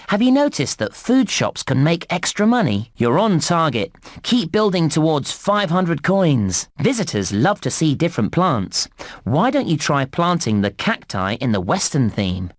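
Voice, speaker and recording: A man playing a cheerful, encouraging theme park guide in a game, giving scripted instructions in a clear presenter's delivery. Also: a little high, no room sound, studio quality. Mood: delighted, encouraging. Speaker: game narrator and tutorial guide.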